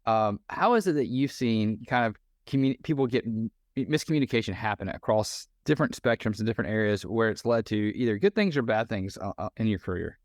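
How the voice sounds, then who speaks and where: A man hosting a podcast interview in a relaxed conversational way, often pausing on fillers and restarting sentences. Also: on the low side, no echo, studio quality. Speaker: podcast host.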